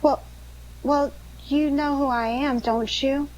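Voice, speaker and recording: An anxious young dame in trouble played by a woman, earnest and pleading in a fairly high voice. It is old-time radio drama acting with faint noise behind. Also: steady tone, slow pace, a little mellow, muffled recording. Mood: uneasy.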